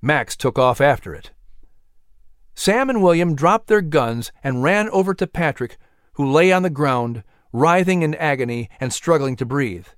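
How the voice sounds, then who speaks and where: A man reading a children's adventure story as narrator, expressive and suspenseful. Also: fairly high pitch, unhurried, clear, resonant tone, no room sound, clean studio sound. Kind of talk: dramatic scripted story narration.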